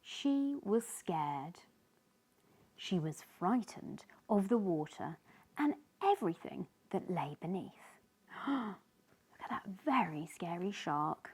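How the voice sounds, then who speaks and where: Female children's story reader. Animated, swooping delivery at a leisurely pace. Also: lowish voice, slightly rough. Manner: slow, animated, speaking to young children. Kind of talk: expressive read-aloud of a children's picture book.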